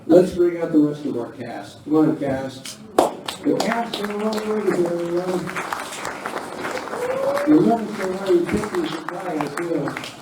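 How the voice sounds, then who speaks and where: A man's voice: high voice, animated, measured pace, long pauses, a loud crowd. A man hosting and narrating a live Western radio play in front of an audience. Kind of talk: stage hosting and dramatic scripted narration. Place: live performance in a village venue. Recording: very echoey room, distant mic, noisy.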